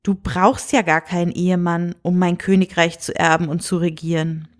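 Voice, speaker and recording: A woman voicing a kindly, reassuring king and father in a storybook, calm, friendly and unhurried. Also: fairly low pitch, close to an everyday voice. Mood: thoughtful. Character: kindly, reassuring old-fashioned fairy-tale king and father.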